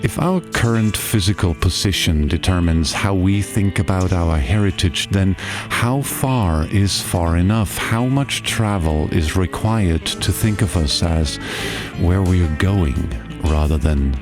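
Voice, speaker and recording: A man from Austria, narrating a reflective travel voice-over and asking slow, musing questions. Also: deep voice. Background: guitar music.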